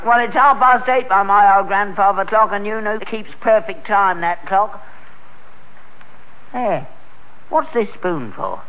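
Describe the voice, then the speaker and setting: Grubby old cockney dad from a sitcom, whiny, stubborn, voice jumps all over, hissy old tape. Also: male voice, high voice, expressive, unhurried, pauses often. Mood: grumbling. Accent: London Cockney. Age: older. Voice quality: whiny, querulous. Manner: wheedling, self-pitying. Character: grubby, stubborn, whining old working-class father. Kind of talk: British sitcom acting. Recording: dull, noisy archive comedy recording.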